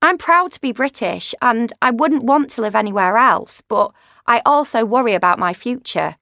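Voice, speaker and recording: Brief: actress as a young woman from Leeds, earnest and reflective, higher-pitched voice, dry studio sound. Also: animated, normal speed, creaky, sharp tone, no echo, full character voice. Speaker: speaker in a course recording. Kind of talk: scripted monologue.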